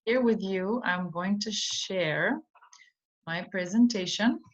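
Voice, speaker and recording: A woman's voice: mid-range voice, slow. A woman teaching an English idiom in an online lesson, speaking patiently with simple explanations and frequent ums. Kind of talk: slow online vocabulary lesson. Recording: dull lo-fi recording.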